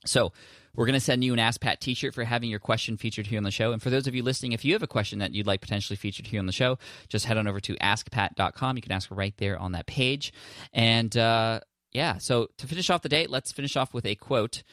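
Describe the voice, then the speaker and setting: A man's voice: medium pitch, quick pace, a bit bright, no room sound, studio quality. A man hosting a podcast, talking casually while standing and gesturing, coaching a listener with friendly restarts. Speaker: podcast host.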